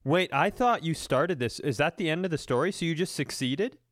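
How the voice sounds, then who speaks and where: A man's voice: on the high side, expressive, moderate pace, a bit bright, no echo. A man co-hosting a podcast in casual comic conversation, with wry, dry remarks. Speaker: podcast co-host.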